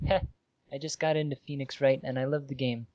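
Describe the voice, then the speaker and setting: A man casually reading amateur fan fiction aloud on a podcast, halting and amused, with asides. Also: medium pitch, flat delivery, darkish tone, dry recording, muffled recording.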